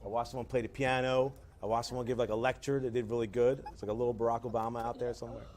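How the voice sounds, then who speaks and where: Quick, eager man from Ghana, guest speaker, mid-pitched. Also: level tone, a little mellow, a little hiss or hum. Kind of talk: casual talk to young audience.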